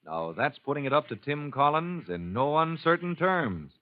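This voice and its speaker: A man's voice: on the high side, very animated, normal speed. A man announcing a 1950s radio drama in a dramatic, emphatic style. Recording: dull old radio recording.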